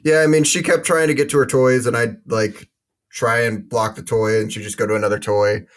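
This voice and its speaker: A man playing a gentle, worn-down father, resigned and understated, in an improvised role-play. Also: medium pitch, steady tone, fairly quick, natural voice.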